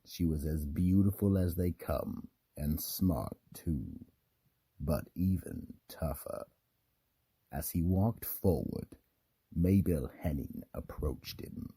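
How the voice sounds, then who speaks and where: Very deep, gravelly male radio narrator. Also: lively intonation, warm tone, dry recording. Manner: theatrical, deliberate storytelling. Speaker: narrator and host. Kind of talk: scripted radio mystery drama narration.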